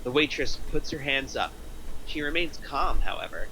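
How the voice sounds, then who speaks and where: A man's voice: mid-range voice, lively intonation, normal speed, sharp tone, a little hiss or hum, rough recording. A man narrating stage directions from a script at a table reading.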